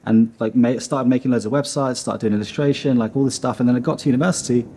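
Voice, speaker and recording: Mellow-voiced man rambling with fillers. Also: medium pitch, steady tone, fast, slight background noise. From Iceland. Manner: rambling, heavy on fillers and restarts. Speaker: animation studio founder. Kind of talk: candid seated interview. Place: dim studio room.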